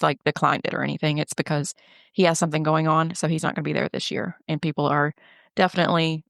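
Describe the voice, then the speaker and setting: A woman co-hosting a casual travel podcast, sounding slightly nasal and stuffed up from a head cold. Her voice sits a little low. The sound is dry and close, with no room echo. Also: vocal fry. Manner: runs through lists in a steady, even delivery. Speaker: podcast co-host and travel agent.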